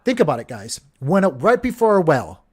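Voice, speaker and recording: A man's voice: a little high, huge pitch swings, fairly quick, darkish tone. A man from Hawaii hosting a crypto channel, giving casual market commentary in a rambling, chatty way, full of fillers and restarts and talking straight to the viewers. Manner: addresses viewers as guys.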